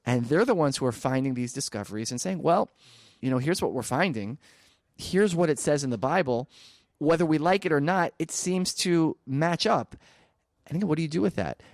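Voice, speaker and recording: A man speaking as an interview guest, arguing persuasively and emphatically, with rhetorical questions and deliberate pauses for stress. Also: on the high side, expressive, no room sound, clean studio sound. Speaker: interview guest, archaeology advocate. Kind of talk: persuasive spoken argument in a radio interview.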